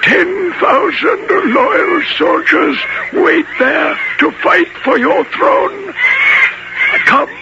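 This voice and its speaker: A man playing a loyal elder ally in a radio adventure, urging with strained, hushed intensity. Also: very high voice, expressive, drawn-out words, gravelly, full character voice. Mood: urgent. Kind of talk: 1970s radio adventure acting. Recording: dull, noisy old radio broadcast.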